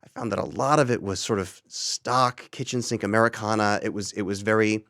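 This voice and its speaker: A man's voice: on the low side, fairly even intonation, brisk, a bit bright, dry recording, studio quality. A man talking casually and at length as a guest in a group podcast interview. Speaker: podcast guest, audio drama creator.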